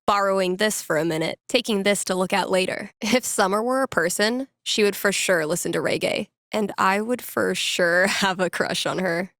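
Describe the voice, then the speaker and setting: A woman's voice: mid-range voice, fairly bright tone, no echo, natural voice. A woman playing a wry young record store worker and nerdy musician in a video game, talking casually to herself with dry sarcasm. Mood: matter-of-fact. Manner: casual, dryly sarcastic, with sighs and playful asides. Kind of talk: video game character thinking aloud.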